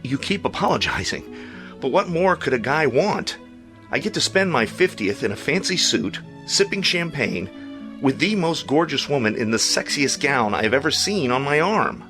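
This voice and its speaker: A man's voice: mid-range voice, sing-song, normal speed, music in the background, lightly acted. An actor playing a charming, doting man of about fifty in an audio drama, playful and affectionate. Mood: adoring.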